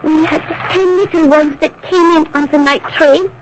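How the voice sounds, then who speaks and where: A woman's voice: high-pitched, fairly even intonation, moderate pace, lo-fi sound, lightly acted. An actress playing a young, anguished French resistance woman in a radio drama, earnest and emotionally strained, on an old noisy broadcast recording. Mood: distraught confessing.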